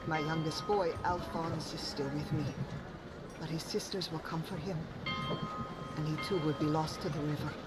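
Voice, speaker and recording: A weary, guarded washerwoman voiced by a woman speaks as a protective mother in an audio adventure game. Loud music and outdoor town ambience run behind her. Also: low-pitched, steady tone, moderate pace, lightly acted. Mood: guarded, pleading.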